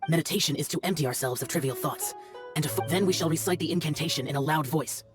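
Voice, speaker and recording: A man playing a stern, aloof, youthful immortal demon slayer in a video game, with solemn, formal, measured delivery. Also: on the high side, over music, close to an everyday voice. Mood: solemn instruction. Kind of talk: scripted video game dialogue.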